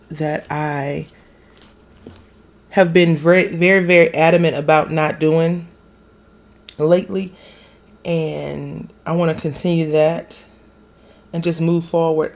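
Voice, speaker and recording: A woman hosting a craft video, chatting casually as she stamps a card. Her voice is raspy and a little hoarse from being sick, and she rambles and corrects herself as she goes. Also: low voice, a little mellow. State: recovering from illness, hoarse. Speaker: craft channel host.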